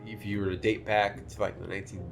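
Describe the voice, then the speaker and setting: Podcast host, male, nasal, lowish voice, casual rambling storyteller, faint guitar underneath. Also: flat delivery, fast, creaky. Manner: rambling storytelling with many fillers. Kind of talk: casual chat.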